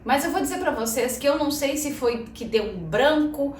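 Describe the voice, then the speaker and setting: A Brazilian woman in her thirties talks casually to her viewers, chatty and personal, often tagging her sentences with little questions. Her voice sits a bit high for a woman. It carries the echo of a roomy space, with her a little away from the mic and faint noise underneath. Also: animated, moderate pace, far from the mic. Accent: Brazilian Portuguese. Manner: chatty, addresses viewers as "gente", frequent "né". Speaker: channel host.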